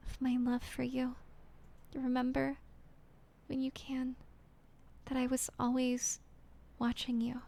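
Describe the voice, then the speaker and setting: A woman voicing a heartbroken young woman reading out her farewell letter in a halting, apologetic half-whisper. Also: high voice, creaky, dark tone, voice pushed up, heavily acted.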